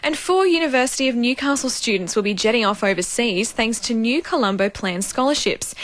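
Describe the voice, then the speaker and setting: Higher-pitched Australian woman reading radio news. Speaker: radio newsreader. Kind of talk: scripted news bulletin narration.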